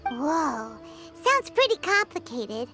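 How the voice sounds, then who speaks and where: Tiny plucky fairy sidekick, extremely high squeaky voice, childlike, perky, anime game style, loud music behind. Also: female voice, very animated, slow pace, heavily acted. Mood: eager, bold. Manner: perky, exclamatory.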